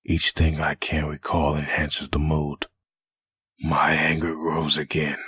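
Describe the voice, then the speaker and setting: A man playing a brooding undead antihero full of hatred in a comic dub, with a gravelly rasp and a grim monologue delivery. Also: very deep voice, completely flat delivery, bright, forceful tone, no room sound, heavily acted. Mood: bitter, brooding. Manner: grim, slow internal monologue. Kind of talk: comic dub dramatic narration.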